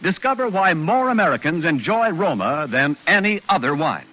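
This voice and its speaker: A man's voice: on the high side, big pitch swings, moderate pace, sharp tone, poor audio. A man announcing a 1940s radio commercial with a polished, emphatic sales delivery. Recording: 1940s radio recording, music behind.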